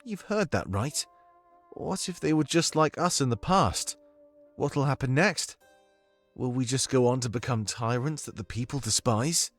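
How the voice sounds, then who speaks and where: Man playing a wary, principled fantasy adviser, mid-pitched. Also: animated, measured pace, soft background music. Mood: brooding. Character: thoughtful male fantasy ally, wary, principled adviser to the monarch. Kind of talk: fantasy audio drama acting.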